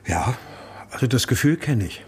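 An actor playing a brooding, grieving writer who narrates a radio drama in a subdued, introspective manner. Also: male voice, medium pitch, animated, moderate pace, a little hiss or hum, lightly acted. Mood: guarded, hesitant. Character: brooding married male writer, grieving missing daughter. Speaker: narrator and lead character. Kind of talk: first-person radio drama narration and dialogue.

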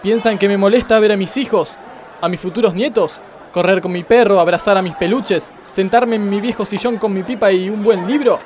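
A man's voice: high voice, a little fast, a little mellow, lightly acted. An Argentine man playing a pompous, exasperated, self-pitying judge in a comic radio play, declaiming melodramatically. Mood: melodramatic self-pity. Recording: crowd noise behind the voice.

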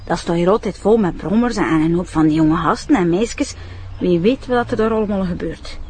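A woman's voice: fairly low pitch, normal speed, a little mellow, slightly rough. A woman with a Flemish accent playing a flustered, gossipy village wife with a rambling, homely manner in an audio drama. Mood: indignant, gossipy. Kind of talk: character acting in audio drama. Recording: faint background noise.

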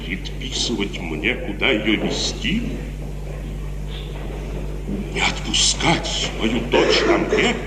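Proud, fiery old colonel, formal classical tragedy, echoing hall, noisy 1950s radio recording. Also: male voice, on the low side, very animated, takes it slowly, pauses often. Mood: indignant, brooding. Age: older. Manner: theatrical, brooding, stiffly formal. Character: proud, hot-headed old colonel, wronged father.

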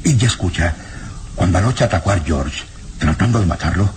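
An actor playing an earnest, vengeful village peasant in a radio serial, speaking emphatically and insistently. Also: male voice, low-pitched, animated, brisk. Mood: reluctant, worried. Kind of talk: old radio serial acting. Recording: noisy old radio serial recording.

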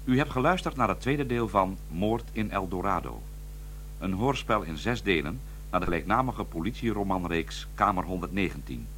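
A man's voice: low-pitched, animated, normal speed, a bit bright, a little hiss or hum. A man announcing the closing credits of a radio drama in a formal way.

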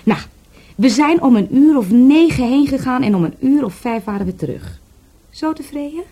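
An actress playing a brazen, playful young callgirl in a radio play, husky and pouty. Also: sits high, animated, moderate pace, mellow.

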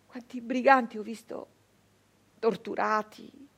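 A bitter wounded outlaw woman from the 1800s, high voice, halting stage monologue, really intense. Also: fairly even intonation, breathy edge. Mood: bitter, indignant. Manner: intense, halting delivery with repeated phrases. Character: captured 19th-century brigand woman, wounded, bitter, doomed. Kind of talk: dramatic theatrical monologue.

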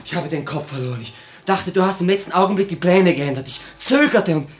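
A man's voice: sits high, brisk, natural voice. An actor playing a frightened, hesitant young male revolutionary, agitated from running, in a 1950 radio drama. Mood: panicked. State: agitated, just ran in. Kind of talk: 1950 German-language radio drama acting. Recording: roomy old radio recording.

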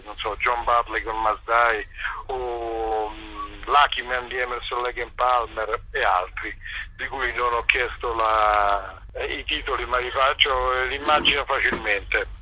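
A man's voice: mid-range voice, steady tone, unhurried, bright, forceful tone, a little hiss or hum. A man chatting casually over a telephone line, explaining in a rambling, hesitant way with wry, self-deprecating asides. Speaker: event organiser, interview guest. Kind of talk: casual phone interview, informal explaining. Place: remote phone call to a radio show.

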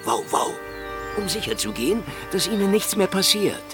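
An actor playing a sly, mocking private detective with dry mock courtesy in a German radio drama. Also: male voice, sits high, very animated, moderate pace, loud music behind the voice. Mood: mock-courteous, coaxing. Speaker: lead actor in a radio play.

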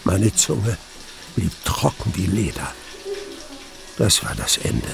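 An actor playing a tormented man recounting his ordeal, as measured, grave and dramatic narration in a radio drama. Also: deep voice, very animated, gravelly, full character voice. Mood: bleak intensity.

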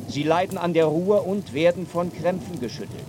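A man narrating a radio play gravely as scripted description, with clear outdoor noise behind him. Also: high-pitched, moderate pace, natural voice. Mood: grave, descriptive.

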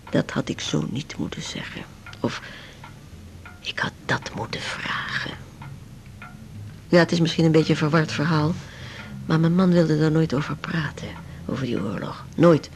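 Lonely older widow, low creaky voice. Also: female voice, darkish tone. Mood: troubled, melancholy. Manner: halting, confiding, trailing off. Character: lonely, brooding older widow burdened by wartime past. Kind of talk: naturalistic Dutch radio drama acting. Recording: faint background noise.

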